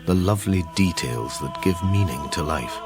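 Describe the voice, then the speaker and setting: An actor playing a gentle, reflective middle-aged psychic detective, narrating a radio drama in a measured, wistful way. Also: male voice, low-pitched, animated, over music.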